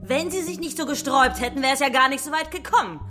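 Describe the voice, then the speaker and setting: A woman's voice: sits high, quick pace, music in the background, lightly acted. A woman playing a scheming, condescending businesswoman villain in a children's radio play, smug and coaxing. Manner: smug, haughty.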